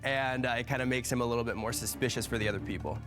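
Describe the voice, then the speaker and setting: A man's voice: mid-range voice, very fast. A man from Canada talking casually and explaining himself as a contestant. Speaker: contestant and YouTuber. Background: background music.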